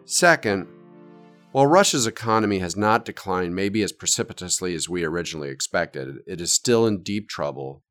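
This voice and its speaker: Pria bersuara sangat rendah, tenang menjelaskan. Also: male voice, low voice, animated, fairly bright tone, soft background music. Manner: measured, explanatory, lists takeaways. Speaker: podcast host. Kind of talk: economics interview and wrap-up.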